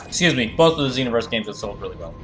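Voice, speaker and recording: A man's voice: medium pitch, expressive, racing. A man chatting casually on a gaming livestream, rambling and repeating phrases as he thinks aloud. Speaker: streamer doing live fandub of a game and reading chat. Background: game music.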